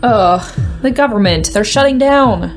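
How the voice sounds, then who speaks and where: A woman plays a sensible radio news colleague at the end of her patience. Her voice sits a little high, and she is blunt and exasperated, with music playing behind. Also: lively intonation, a little slow. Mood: urgent. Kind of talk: comedic audio fiction.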